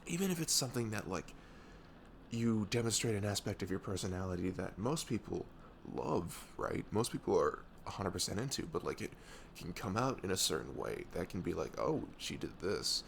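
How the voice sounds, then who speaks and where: A young man acting out a protective, tender best friend in an ASMR roleplay, with a low voice and some fry at the edges. He speaks haltingly and earnestly, close and soothing, with pitch that moves around a lot. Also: very animated, slight background noise, heavily acted. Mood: gentle, earnest. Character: caring, protective young male best friend comforting someone. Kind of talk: ASMR audio roleplay, intimate casual chat.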